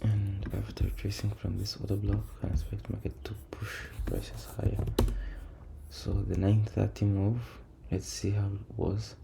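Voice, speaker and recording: A man talks through his screen in a deep voice with heavy vocal fry. His delivery is monotone and low on energy, hesitant and repetitive as he thinks aloud. The recording is noisy, with keyboard clatter behind him. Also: measured pace. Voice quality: low, creaky voice. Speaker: trader recording a backtesting session. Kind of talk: casual screen-share commentary, thinking aloud. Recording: noisy room, keyboard and typing sounds.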